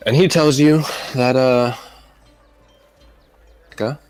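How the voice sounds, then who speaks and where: A man who narrates as game master in a loose, rambling, halting way. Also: medium pitch, steady tone, a little mellow.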